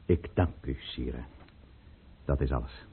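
An actor playing a proud, resolute conspirator count in a radio drama, with grave, commanding, theatrical delivery. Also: male voice, a bass voice, takes it slowly, slight background noise. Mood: solemn, resigned. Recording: muffled, narrow-band old radio play recording.

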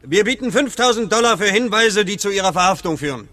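An actor playing a stiff mayor making a formal, declamatory public statement in a radio drama. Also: male voice, high voice, lively intonation, fast, sharp tone. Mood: formal, tense.

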